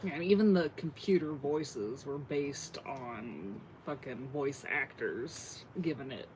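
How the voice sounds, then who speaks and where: A man's voice: mid-range voice, slow pace, background noise. A man talking casually as a co-host, blunt and given to casual swearing. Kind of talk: casual conversation.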